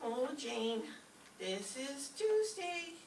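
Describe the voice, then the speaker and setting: A woman's voice: high-pitched, very animated, extremely slow, a little mellow, exaggerated voice. A woman playing a naive, scatterbrained, easily frightened young roommate in a radio comedy, earnest and literal-minded. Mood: naive, wondering. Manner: earnest, literal-minded delivery of silly logic. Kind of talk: live old-time radio comedy acting. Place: echoey room, mic at a distance. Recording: roomy, distant live stage recording, faint noise.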